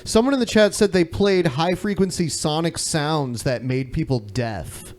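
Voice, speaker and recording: A man's voice: on the high side, measured pace. A man co-hosting a podcast, chatting casually and giving his opinions in informal, sweary language. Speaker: podcast co-host.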